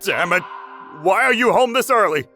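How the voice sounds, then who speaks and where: A man playing a panicky, menacing burglar in a manga dub, loud and frantic. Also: high voice, expressive, moderate pace, over music. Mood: startled, threatening.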